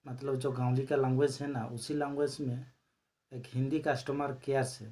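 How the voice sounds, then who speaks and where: A man hosting his own channel, chatting casually to viewers and explaining a recorded call. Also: mid-range voice. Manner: relaxed, explains slowly with fillers. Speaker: channel host.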